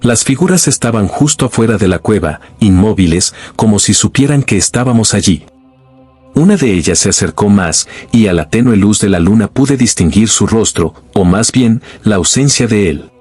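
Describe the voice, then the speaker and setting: A man reading a scripted first-person horror story in a slow, grave, suspenseful way. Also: fairly low pitch, animated, darkish tone, slightly rough, no room sound, soft background music. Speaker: narrator.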